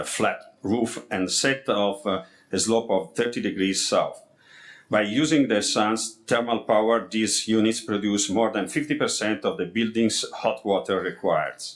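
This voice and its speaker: A man with a fairly low voice gives a formal, read-out conference presentation in English that is not his mother tongue. His delivery is halting, and he trips on words and repeats them as he goes. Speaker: architect presenting a project.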